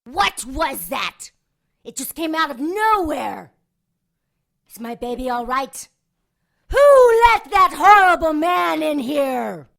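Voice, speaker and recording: High, forceful succubus mother. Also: big pitch swings, slow pace, creaky, full character voice. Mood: shaken, angry. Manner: impassioned, emphatic, pleading. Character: defiant female succubus, protective expectant mother. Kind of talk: audio drama acting.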